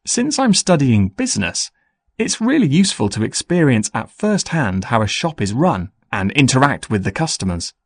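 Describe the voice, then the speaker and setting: A man playing a busy, friendly young business student in a scripted, clearly enunciated listening-test dialogue. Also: mid-range voice, sing-song, normal speed, no echo. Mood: earnest, friendly.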